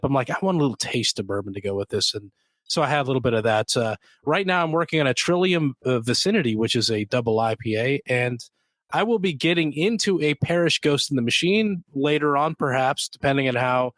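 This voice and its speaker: A man's voice: mid-range voice, a bit bright, no room sound. A man co-hosting a beer podcast in casual chat, sounding congested with a nasal scratch. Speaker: podcast co-host.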